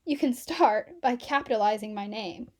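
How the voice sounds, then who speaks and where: A woman plays a storybook schoolteacher, a man with a firm, instructive delivery and an exasperated edge. The pitch sits high, and key points are stressed for the class. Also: lively intonation, moderate pace, a little mellow. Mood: firm explaining. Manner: instructive, emphatic. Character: male schoolteacher, patient but exasperated, growly.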